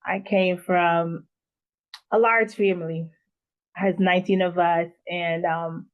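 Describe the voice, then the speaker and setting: A woman from Guyana, a guest founder, talking in an earnest interview manner with pauses and fillers. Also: lowish voice, steady tone, phone-quality sound. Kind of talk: casual interview talk.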